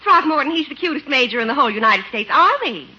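A woman's voice: high-pitched, very animated, fast talker, bright, forceful tone, heavily acted. A woman playing a gushing, vain, flirtatious lady cousin in a breezy, theatrical 1950s radio comedy style. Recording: muffled 1950s radio recording.

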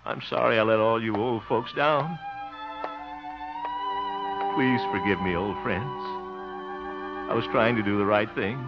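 An actor playing a blustery, soft-hearted, theatrical man in a 1950s radio comedy. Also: on the low side, measured pace, pauses often. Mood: remorseful, despairing. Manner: theatrical, exclamatory. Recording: dull old radio recording, music.

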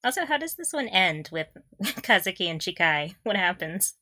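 A woman with a bright, sharp voice and very lively pitch movement, recorded close and dry. She chats casually as a podcast co-host, expressive and quick to react. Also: no room sound. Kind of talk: casual chat.

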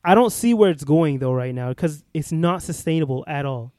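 A man's voice: a little high, expressive, normal speed, warm tone, dry recording, clean studio sound. A young man in casual conversation, earnest and thoughtful, restarting often and hedging with "like" and "I feel like". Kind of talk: casual chat.

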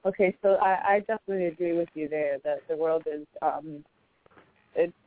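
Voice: mid-range voice, moderate pace, dry recording. Hesitant host on a tinny phone line. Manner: hesitant, many fillers and restarts. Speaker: interview host. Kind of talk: casual interview.

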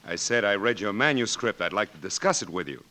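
An actor playing a formal, businesslike magazine editor in 1940s radio comedy style. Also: male voice, on the low side, animated, brisk, sharp tone. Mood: politely businesslike.

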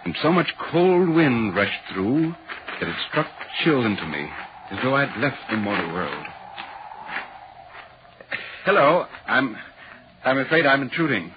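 A polite, inquisitive reporter tells an eerie story in 1940s radio style. His delivery is dramatic and animated in pitch, over a hissy old recording. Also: unhurried, pauses often, highs cut off. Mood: curious, polite. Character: inquisitive, polite London newspaper reporter recounting an eerie tale.

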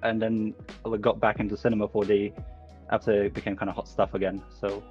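A man's voice: lowish voice, flat delivery, fairly quick, a little mellow, dull audio, faint music behind. A man from Lithuania, a 3D artist, speaking modestly and casually as a guest, laughing often. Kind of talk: casual interview.

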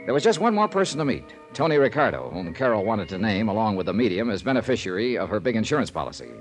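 A man's voice: on the low side, big pitch swings, fast talker. An American man acting as a wry insurance investigator, narrating his case conversationally in a 1950s radio drama. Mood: brisk, wry. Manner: wry, conversational first-person storytelling. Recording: 1950s radio broadcast with background music.

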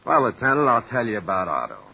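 An actor in a 1950s radio drama playing a brash, sardonic male vaudeville comic with a husky, wisecracking delivery. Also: mid-range voice, wildly swooping pitch, fast talker, a little hiss or hum. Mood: bitter. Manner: wisecracking, needling. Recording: muffled vintage radio recording.

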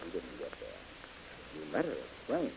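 A man's voice: extremely low pitch, wildly swooping pitch, normal speed, gravelly, exaggerated voice. A man playing a cool-headed, mocking detective in an old radio drama. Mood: taunting. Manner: cool, mocking and self-assured. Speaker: detective hero. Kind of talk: 1940s radio acting. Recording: dull old radio recording with hiss.

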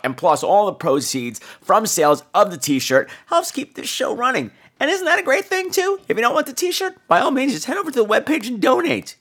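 A man chats as a podcast host in a fairly high voice. His pitch swoops up and down with campy, theatrical flair, and he talks casually and cheekily to his listeners. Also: pushed and bright. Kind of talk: casual podcast sign-off chat.

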